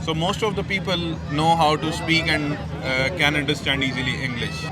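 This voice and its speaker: A man's voice: a little high, fairly even intonation, moderate pace. A man, explaining steadily in a street interview. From Pakistan. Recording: outdoor street with crowd and traffic.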